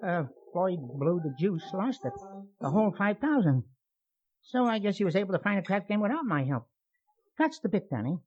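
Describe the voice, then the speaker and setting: A man's voice: sits high, very animated, fairly quick, a little mellow. An actor playing a breezy, gossipy male street informant who talks in slang, in an old-time radio drama. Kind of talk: colorful old-time radio character acting. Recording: muffled vintage radio recording.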